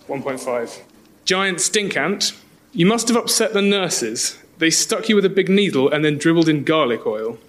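A man's voice: on the high side, lively intonation, normal speed, fairly bright tone, slight background noise. A man on a podcast panel giving a dramatic reading.

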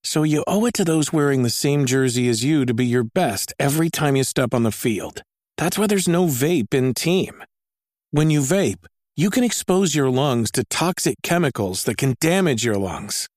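A man's voice: medium pitch, lively intonation, moderate pace, a little mellow, dry recording, clean studio sound. A man reading a public service ad in an earnest, persuasive scripted delivery. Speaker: ad announcer.

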